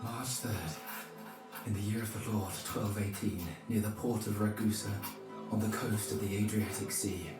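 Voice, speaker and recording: An actor playing a pious medieval monk narrating his journal for a video game in a formal, measured way. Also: on the low side, steady tone, recorded from a distance, close to an everyday voice. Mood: solemn, reflective. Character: male medieval monk chronicler, pious, solemn. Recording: very echoey, music behind.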